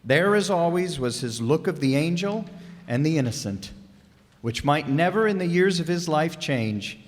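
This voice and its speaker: Male narrator, radio drama, animated intonation, higher-pitched, dramatic storytelling, reverberant hall. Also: normal speed. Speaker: narrator and announcer. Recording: echoey hall, long reverb.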